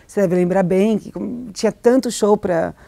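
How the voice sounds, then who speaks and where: A woman's voice: mid-range voice, normal speed, vocal fry, mellow, dry recording. A middle-aged woman, an author raised in Argentina, answering interview questions in a hesitant, reflective way with many restarts and fillers. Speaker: novelist, interview guest.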